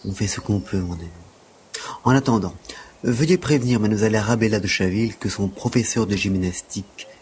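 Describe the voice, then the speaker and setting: A male actor plays a prim, aloof gymnastics tutor in an audio drama. His fairly low voice is formal, dry and exact, with faint insect ambience behind it. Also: a little fast, a little mellow, slight background noise, natural voice. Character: prim, aloof, penniless male gymnastics teacher, sickly but dignified. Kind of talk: French audio drama acting.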